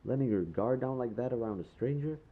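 A man's voice: mid-range voice, a little fast, phone-quality sound, lightly acted. A man acting a kind, teasing young stranger who guides a lost girl, speaking casually to the listener in an intimate roleplay audio drama. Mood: quiet, fond. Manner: casual, playfully teasing, mildly awkward, swearing.

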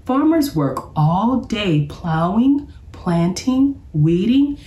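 Woman as storybook bear professor, cheerful, instructive, encouraging, unhurried, swooping intonation, echoey room. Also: medium pitch, sing-song, warm tone, far from the mic, pitched above the performer's own voice. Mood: upbeat, instructive. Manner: encouraging, emphatic, explaining to children. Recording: roomy, echoey sound.

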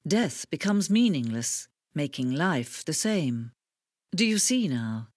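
A woman voicing a calm, knowing female narrator in a video game, speaking in a measured, solemn way straight to the player. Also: low-pitched, no echo, full character voice. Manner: measured, solemn, directly addressing the listener. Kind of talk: scripted narration addressing the player. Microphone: close studio mic.

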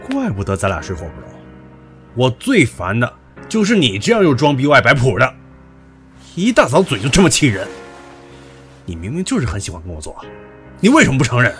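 A man's voice: mid-range voice, wildly swooping pitch, brisk, long pauses, fairly bright tone, soft background music. A man acting in an audio drama as a blunt, hot-headed and possessive young rich man with a demanding manner. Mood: angry, demanding.

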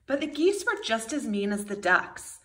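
Expressive kindergarten teacher, bright woman's voice. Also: on the high side, moderate pace. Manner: expressive, talks to young children with questions. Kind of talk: children's storybook read-aloud.

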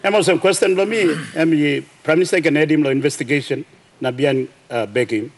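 A middle-aged Papua New Guinean man, a governor asking a question in a parliament chamber, speaking haltingly with many fillers and restarts. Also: fairly high pitch. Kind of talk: parliamentary question time, mixing Tok Pisin. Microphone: desk mic behind a plexiglass screen.

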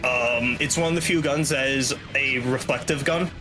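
A young man doing casual live commentary as a speedrunner, in a halting way that repeats and restarts phrases. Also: medium pitch. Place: indoor room at home, webcam stream. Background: video game music and vehicle-like game sounds.

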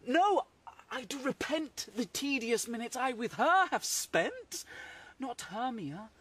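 Ardent young lover, swooping delivery. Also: male voice, extremely high pitch, sing-song, slow, fairly bright tone, slightly rough, full character voice. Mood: rapturous. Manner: theatrical, declamatory. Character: ardent young Athenian gentleman in love. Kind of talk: classical radio drama verse acting.

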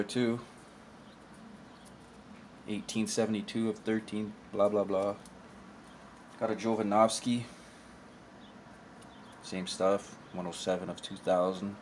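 A young man with a slightly low voice talks casually into a table camera in his room, showing off cards. His delivery is offhand and mumbly, often trailing off mid-thought. A faint room hum sits behind him. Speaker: card collector vlogger. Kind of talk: casual card showcase commentary. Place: indoor room with shelf of collectibles.

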